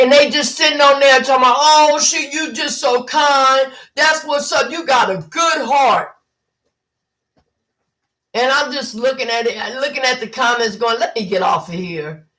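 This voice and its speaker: Blunt, animated woman in her fifties. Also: high-pitched, poor audio. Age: 50s. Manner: blunt, animated, mocking impressions. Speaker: livestream host. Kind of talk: casual livestream commentary.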